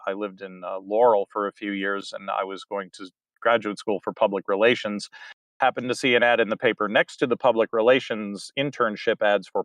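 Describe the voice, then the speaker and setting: A man's voice: lowish voice, fairly bright tone, no echo, low-quality recording. A man with a West Virginian accent, a guest in a casual interview, rambling and chuckling as he talks. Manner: rambling, chuckles between thoughts. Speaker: guest, audiobook narrator. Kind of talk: casual video-call interview.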